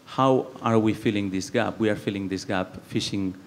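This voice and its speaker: A man's voice: on the low side, normal speed. A man from Barcelona with a Catalan Spanish accent, speaking earnestly on a debate panel with many fillers and restarts. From Spain, Barcelona. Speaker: panelist, campaigner.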